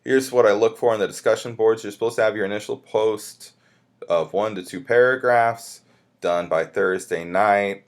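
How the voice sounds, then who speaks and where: A man's voice: lowish voice, normal speed. A man speaking as a college instructor, walking students through a course in an informal, conversational and reassuring way with self-corrections. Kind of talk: informal course orientation lecture, explaining syllabus to students.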